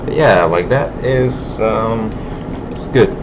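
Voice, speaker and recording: A man's voice: on the low side, slow pace. A man working as an art instructor, giving a casual classroom demo and thinking aloud informally as he works. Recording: muffled, noisy broken classroom audio.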